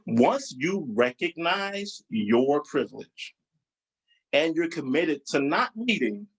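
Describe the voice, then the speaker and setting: A man running a group training over a video call. His pitch sits mid-range, and he keeps checking in with listeners, lifting his voice when he presses a point. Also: fairly bright tone, poor audio. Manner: encouraging, asks the group questions, rises in pitch for emphasis. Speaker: diversity trainer. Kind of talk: leading a group training session.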